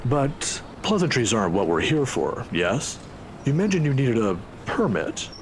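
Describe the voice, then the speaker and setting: A man voicing a genial, inquisitive older university professor in a video game, polite and gently teasing. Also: medium pitch, very animated, unhurried, a little hiss or hum. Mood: calm, curious.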